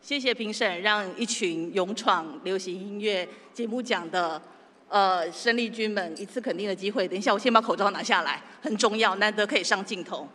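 A woman's voice: mid-range voice, moderate pace, sharp tone. A woman giving an award acceptance speech into a stand microphone on stage. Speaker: radio show host accepting an award. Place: on stage in a large theatre. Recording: echoey large auditorium with long reverb.

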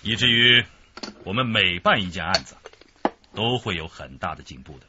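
A man's voice: sits low, expressive, moderate pace, noisy background. An actor playing an encouraging male police team leader in a radio drama. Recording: dull lo-fi radio drama recording.

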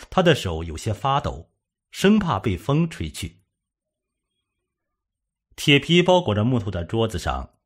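A man with a husky voice reading a scripted suspense story as an audiobook narrator. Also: mid-range voice.

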